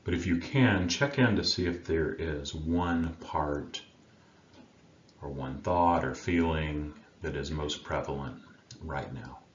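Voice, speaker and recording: A man with a remarkably deep voice leads a guided meditation. He speaks slowly and soothingly, leaving long pauses between gentle phrases. The sound is roomy and a little muffled. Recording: roomy, dull sound with faint background noise.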